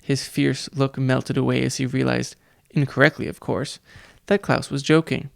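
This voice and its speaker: A man reading a children's audiobook, narrating in a measured, deliberate way. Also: mid-range voice, little pitch movement, vocal fry, no room sound, studio quality. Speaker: audiobook reader.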